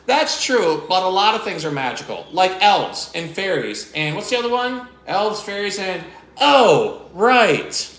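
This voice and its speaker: Grumpy jealous goblin, indignant, complaining, lively bright voice, echoey room, read by a man. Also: high voice, measured pace, hardly any pauses, exaggerated voice. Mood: grumbling. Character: grumpy jealous male goblin, fussy and proud.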